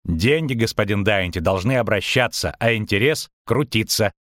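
A man's voice: on the low side, expressive, normal speed, fairly bright tone, no room sound, studio quality. Man voicing a smug, self-assured halfling-shaped shapeshifter. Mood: smug, matter-of-fact. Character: smug shapeshifter copying a male halfling merchant, calm, proud. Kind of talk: audiobook character acting.

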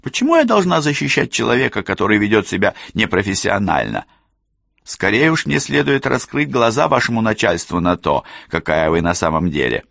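A man's voice: lowish voice, very animated, a little fast, gravelly, a bit bright, dry recording, clean studio sound, voice pushed up, exaggerated voice. A man voicing a cold, haughty young woman who talks down to people with smug, imperious disdain. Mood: indignant, disdainful. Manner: condescending, imperious.

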